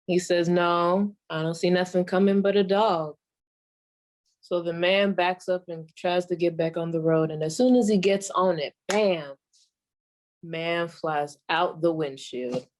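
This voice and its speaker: Woman playing a slow, sassy old radio comedienne. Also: on the low side, lightly acted. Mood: wry storytelling. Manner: folksy, deadpan, drawn-out delivery with deliberate pauses. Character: sassy old female stand-up comic of 1940s radio. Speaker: comedian performing a monologue. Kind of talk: stand-up storytelling in 1940s radio act.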